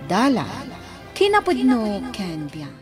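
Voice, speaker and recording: A woman's voice: a little high, wildly swooping pitch, takes it slowly, over music. A woman radio host giving a scripted program introduction and scripture reading.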